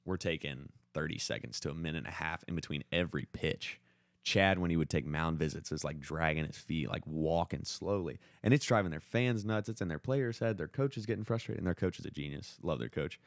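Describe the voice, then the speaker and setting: Deep-voiced young man telling sports stories. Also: vocal fry, dry recording, clean studio sound. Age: young adult. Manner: informal, slangy, halting retelling. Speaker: podcast co-host. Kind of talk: casual sports storytelling with friends.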